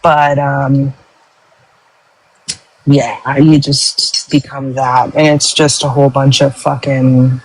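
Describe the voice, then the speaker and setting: A man on a debate panel, talking in a slow, halting way as he searches for words. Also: medium pitch, little pitch movement, rough recording. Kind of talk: casual debate chat.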